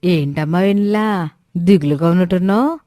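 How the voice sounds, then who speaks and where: Woman playing meddling gossip, lowish voice. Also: moderate pace, mellow, no echo, studio quality, natural voice. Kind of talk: acted moral-story dialogue.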